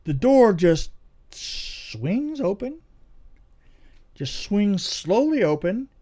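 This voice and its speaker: A middle-aged man telling a story in casual conversation, dramatic and halting, repeating phrases for emphasis. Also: sits high, big pitch swings, warm tone. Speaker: podcast co-host telling anecdotes.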